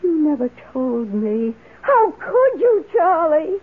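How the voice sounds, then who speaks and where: Eager, emotional lady lodger, high and very expressive, radio play, thin muffled recording. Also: slow pace, exaggerated voice. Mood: shocked, anguished. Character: grateful, eager, dramatically emotional woman houseguest. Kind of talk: old-time radio drama acting.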